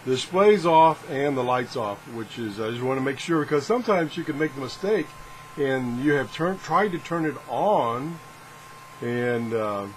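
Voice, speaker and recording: A man's voice: mid-range voice, expressive, moderate pace, poor audio. Man thinking aloud over background noise. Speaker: host of a radio product test video. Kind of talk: casual unscripted product demonstration.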